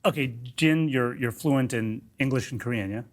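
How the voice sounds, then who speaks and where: A man hosting a roundtable, briskly prompting a guest with questions. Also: medium pitch. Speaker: host, interviewer. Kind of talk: roundtable moderating.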